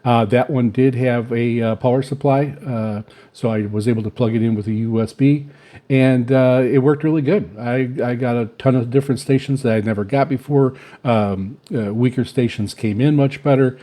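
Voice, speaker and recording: Mellow, halting man. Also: medium pitch, fairly even intonation. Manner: halting, rambling, hesitant with very frequent filler pauses. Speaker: shortwave radio hobbyist reviewing antennas. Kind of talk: unscripted casual product talk.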